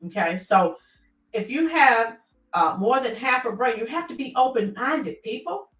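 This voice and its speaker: A woman's voice: fairly high pitch, animated, a little slow, a bit bright, echoey room, recorded from a distance, low-quality recording. A middle-aged woman hosting a casual livestream, reading out viewer comments and rambling with many fillers.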